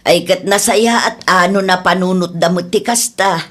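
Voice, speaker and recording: A woman's voice: fairly low pitch, moderate pace, lightly acted. A woman playing a blunt, scolding villager in an Ilocano radio drama, with an Ilocano Filipino accent. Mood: blunt, chiding.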